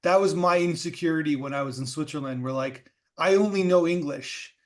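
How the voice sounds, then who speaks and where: A male podcast host with a slightly high voice chats casually with his guest. His questions wander and restart often, loose and conversational. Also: a little fast. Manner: rambling questions with many restarts. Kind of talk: casual interview chat.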